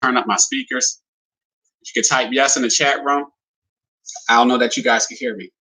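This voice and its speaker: Bright-voiced man hosting a livestream. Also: mid-range voice, fairly even intonation, moderate pace, poor audio. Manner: talks in a plain, simple way with many "you know" fillers; friendly, addresses viewers as "guys". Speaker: livestream host presenting a business opportunity. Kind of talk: casual livestream presentation.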